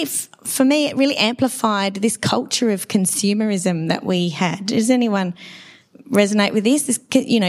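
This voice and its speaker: A middle-aged woman with a dry, hoarse voice after laryngitis, giving a conversational sermon at a church service and asking the congregation questions. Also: mid-range voice. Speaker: preacher.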